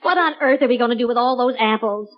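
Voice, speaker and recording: An actress playing a sensible, sharp-tongued, exasperated wife and mother in a 1940s radio sitcom, brisk and sarcastic. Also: on the high side, natural voice. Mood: incredulous, needling. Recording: dull 1940s radio recording.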